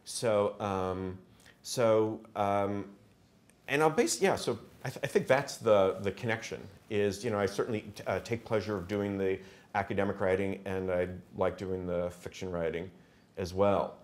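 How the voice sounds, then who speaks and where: A man in his fifties speaks from an auditorium podium as an author at a reading. His voice sits fairly low, and he talks informally in halting phrases with a wry, self-deprecating manner. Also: fairly even intonation. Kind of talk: informal anecdotal talk to an audience. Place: wood-panelled auditorium stage. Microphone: podium microphone and lapel mic.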